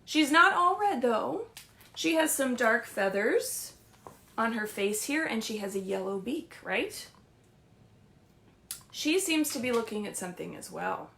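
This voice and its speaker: A woman's voice: on the high side, big pitch swings, measured pace, gravelly. Woman hosting children's story time, echoey room. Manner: gentle, reassuring, simple explanations addressed to small children. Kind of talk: read-aloud story time for young children. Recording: roomy, echoey livestream sound.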